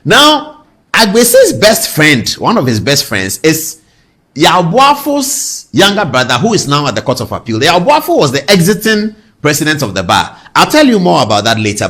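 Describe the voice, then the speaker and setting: Animated Ghanaian male political commentator. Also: on the high side. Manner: storytelling with rhetorical questions and emphatic repetition. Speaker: talk show host and political commentator. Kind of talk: conversational political commentary to viewers.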